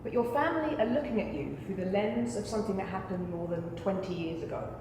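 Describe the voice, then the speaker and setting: A woman playing an impassioned trial lawyer, addressing a jury with deliberate, rhetorical persuasion in a courtroom dramatization. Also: mid-range voice, lively intonation, natural voice. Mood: solemn, persuasive. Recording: echoey hall with faint noise.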